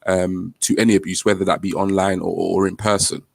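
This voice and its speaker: A low, gravelly man co-hosts a fan talk show. He sounds earnest and measured, in a dry, close recording. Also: level tone, no room sound. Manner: measured, earnest, with many fillers. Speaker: co-host. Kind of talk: casual chat.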